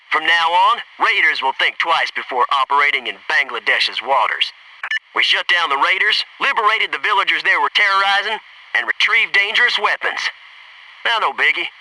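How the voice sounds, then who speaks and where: An actor playing a brash, wisecracking young American Navy SEAL in a military shooter game, with a cocky, folksy, punchy delivery. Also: male voice, on the high side, sharp tone, far from the mic, a little hiss or hum, heavily acted, rough recording. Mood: confident, breezy.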